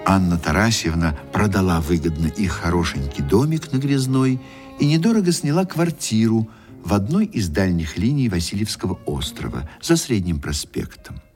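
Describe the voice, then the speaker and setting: A man narrating a literary radio play theatrically, with deliberate pauses and wry rhetorical questions. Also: deep voice, a little mellow, breathy edge. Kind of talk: dramatic literary storytelling for radio.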